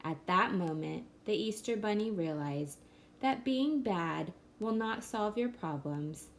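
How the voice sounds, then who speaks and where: Woman narrator, children's picture book read-aloud, slow and gentle, faint room noise. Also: medium pitch, animated. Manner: slow, gentle storybook reading. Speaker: storyteller.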